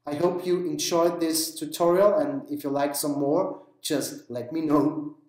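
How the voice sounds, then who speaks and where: A man, not an English speaker, talking through a screen-recorded photography software tutorial in a relaxed way with many fillers. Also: fairly high pitch, unhurried, reverberant, rough recording. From Switzerland. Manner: relaxed, explanatory, with frequent fillers.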